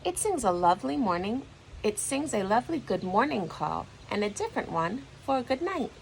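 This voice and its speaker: A woman reading a children's storybook aloud like a teacher, in an expressive, deliberate storytelling manner. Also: on the high side, creaky, a little hiss or hum, poor audio.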